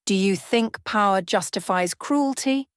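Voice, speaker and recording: A woman voicing a serene young female vampire who speaks softly and calmly with a hidden edge. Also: mid-range voice, a little slow, smooth, no echo, clean studio sound, voice pushed up, lightly acted. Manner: soft, calm, almost playful.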